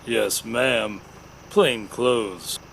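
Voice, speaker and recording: Male, playing a menacing plain-clothes cop for radio play: gravelly, slow, curt orders. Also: on the low side, a bit bright, full character voice. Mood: commanding. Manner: curt, commanding. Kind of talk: audio drama acting. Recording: noisy home-device recording.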